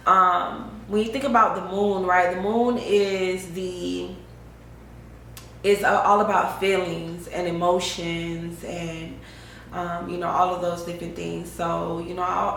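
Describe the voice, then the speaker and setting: A woman's voice: fairly low pitch, gravelly, a bit bright. Young woman vlogging, slangy, lots of "you know what i'm saying", echoey room, bit of hiss. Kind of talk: casual spiritual chat to camera.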